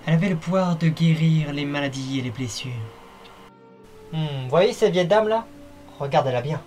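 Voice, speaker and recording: A man's voice: fairly high pitch, normal speed, darkish tone, soft background music. A man narrating a fan-dubbed fairy tale in a playful, wry way, speaking straight to the viewer. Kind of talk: fan-dub storytelling narration.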